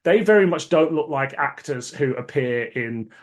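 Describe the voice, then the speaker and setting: A man hosting a podcast in casual chat, explaining things in a measured, conversational way. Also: a little high, animated. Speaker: podcast host.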